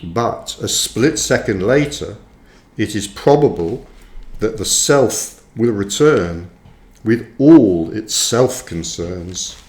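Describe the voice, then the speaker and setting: A man reading his own book aloud as scripted narration, in measured, deliberate phrases with frequent pauses, in a roomy recording. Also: fairly low pitch, lively intonation, darkish tone. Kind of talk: audiobook-style scripted narration. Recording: roomy, echoey sound.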